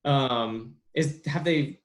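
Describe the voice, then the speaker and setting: A young adult man as an interview guest, talking casually with many pauses and restarts. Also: medium pitch. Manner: halting, lots of ums and restarts. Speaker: interview guest, bilingual speech therapist.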